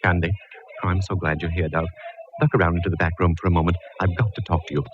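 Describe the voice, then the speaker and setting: An actor playing an effusive, jolly department store Jack Frost in a 1940s radio drama, theatrical and fussy. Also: male voice, low-pitched, fairly even intonation, fast, faint music behind, natural voice. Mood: confiding. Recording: muffled, faintly hissy old radio recording.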